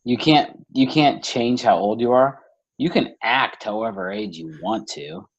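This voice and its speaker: A man's voice: medium pitch, unhurried, gravelly, dull audio. A man in his thirties co-hosting a beer podcast, chatting casually and cracking teasing jokes. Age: 30s. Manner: joking, teasing. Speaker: podcast co-host. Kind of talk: casual chat.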